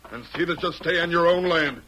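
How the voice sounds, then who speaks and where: An actor playing a greedy, bullying frontier swindler with a mocking western drawl, in an old radio drama. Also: male voice, fairly high pitch, fairly quick, pushed and bright. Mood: scornful, bullying. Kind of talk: 1940s radio drama acting. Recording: faintly noisy old radio recording.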